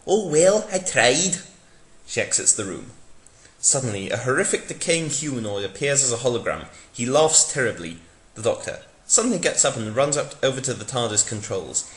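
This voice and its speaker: A man's voice: medium pitch, expressive, a little fast, a bit bright. A man narrating a mock-dramatic reading of fan fiction in a theatrical manner. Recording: echoey room.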